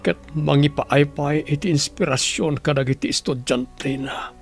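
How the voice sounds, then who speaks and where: Man acting a gravelly, anguished Ilocano husband. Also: a little high, fairly even intonation, a little slow, soft background music. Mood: anguished pleading. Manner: pleading, prayerful. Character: anguished, remorseful husband praying for his sick wife. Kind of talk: Ilocano radio drama acting.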